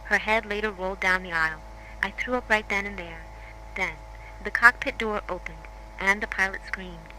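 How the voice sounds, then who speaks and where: A bright, forceful female voice over faint noise. An actress plays a shaken survivor in a horror drama, recounting events plainly and in order at a middling pitch. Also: moderate pace, low-quality recording. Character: shaken female plane crash survivor giving testimony. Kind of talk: scripted first-person account in horror drama.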